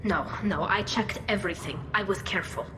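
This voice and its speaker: A woman's voice: mid-range voice, brisk. A woman playing an earnest, anxious young alien engineer in a video game, speaking precisely through a helmet filter. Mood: defensive, upset. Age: young adult. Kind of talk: video game cutscene acting. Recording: echoey hall, noisy ambience behind.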